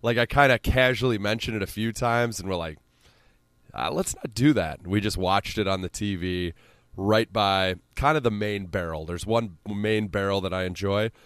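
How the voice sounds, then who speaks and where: A man's voice: lowish voice, moderate pace, a bit bright, clean tone, dry recording, studio quality. A man with a central Illinois accent, hosting a casual sports podcast with exasperated, sweary rambling.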